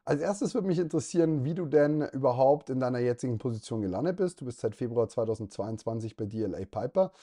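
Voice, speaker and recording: A male podcast host with a medium-pitched, mellow voice. He introduces his guest and poses polite, measured questions in an even interviewing manner.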